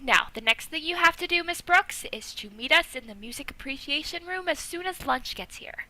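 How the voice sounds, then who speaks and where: Woman playing a bright, high-voiced scheming schoolgirl. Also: expressive, normal speed, rough recording. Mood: chirpy, coaxing. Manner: earnest, coaxing, bossy. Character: perky, scheming teenage schoolgirl, the principal's daughter. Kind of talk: old-time radio comedy acting.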